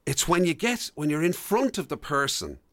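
A man's voice: fairly high pitch, very animated, normal speed, a bit bright, dry recording. An Irish man hosting a radio show, chatting loosely and jumping between thoughts as he interviews in a studio. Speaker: radio host. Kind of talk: casual radio interview banter.

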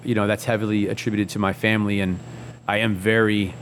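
Man, thirties, chef interview guest, lowish voice, easygoing, halting with fillers, faint background hiss. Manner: relaxed, easygoing, many fillers and restarts. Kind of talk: casual reflective interview.

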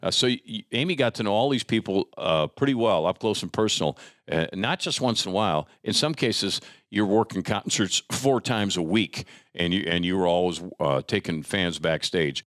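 A man hosting a casual interview podcast in a chatty, folksy, somewhat halting way. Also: sits low, lively intonation, a bit bright, no echo, studio quality. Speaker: podcast host.

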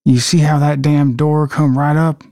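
A man's voice: a little high, little pitch movement, moderate pace, dark tone, no room sound, clean studio sound, close to an everyday voice. A man playing a terrified, folksy Southern fisherman with a put-on accent in an amateur dramatic reading. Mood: stunned.

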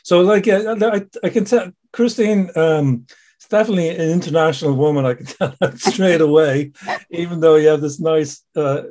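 A man with a slightly high voice interviews his guest. He talks loosely and haltingly, restarting sentences and laughing along. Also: a little mellow, a little hiss or hum. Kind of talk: casual interview chat.

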